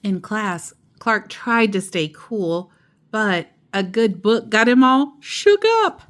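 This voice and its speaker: A woman reading a children's picture book aloud in an expressive, playful way, with chatty asides. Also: medium pitch, takes it slowly. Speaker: storytime host and narrator.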